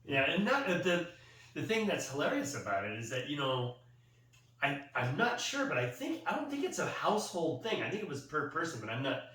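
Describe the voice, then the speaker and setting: A man's voice: on the high side, big pitch swings, a little fast, fairly bright tone. American man chatting, distant and very echoey. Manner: discursive, thinking aloud. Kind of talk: casual group chat. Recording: roomy echo, distant mic, faint noise.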